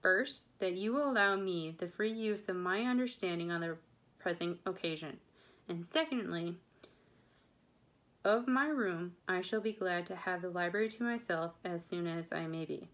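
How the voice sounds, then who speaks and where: A woman reading the part of a wry middle-aged father in a novel, with measured, sardonic formality. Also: on the low side, a bit bright, lightly acted. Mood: dryly polite.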